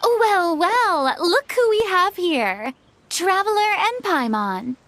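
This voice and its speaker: A polite, composed film crew woman in a video game, high-pitched with a lively, expressive melody. She speaks courteously and in measured phrases. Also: a little hiss or hum, heavily acted.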